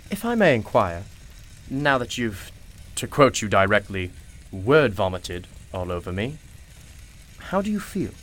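An actor in a radio play voicing a mysterious, wise gentleman shopkeeper, formal, courteous and reassuring. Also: fairly low pitch, very animated, unhurried, pauses often, exaggerated voice, rough recording. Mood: knowing.

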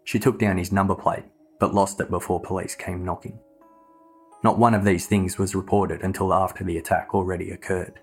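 A man reading scripted true crime narration in a measured, matter-of-fact way. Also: low-pitched, little pitch movement, breathy edge, soft background music.

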